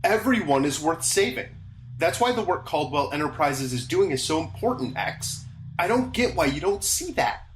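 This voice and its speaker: Dutiful young officer: very low voice, animated pitch, firm and clipped, noisy surroundings. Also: female voice, normal speed. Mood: stubborn, stern. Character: dutiful, earnest, stubborn young security officer. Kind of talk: audio drama acting. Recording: clearly noisy ambience behind voice.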